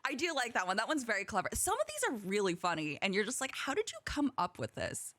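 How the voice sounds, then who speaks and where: Chatty, giggly female podcast host, Korean first language, lively pitch. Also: no echo. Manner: giggly, chatty, quick to laugh. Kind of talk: casual podcast chat.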